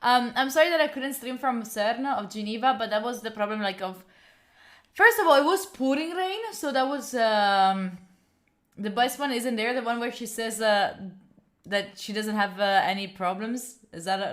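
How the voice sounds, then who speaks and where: A young Italian woman talks on her stream in a fairly high voice. She chats loosely, giggling and filling pauses with "like" and "uh". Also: fairly bright tone. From Italy. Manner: chatty, giggly, rambling with many fillers. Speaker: study streamer. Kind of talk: casual live-stream chat.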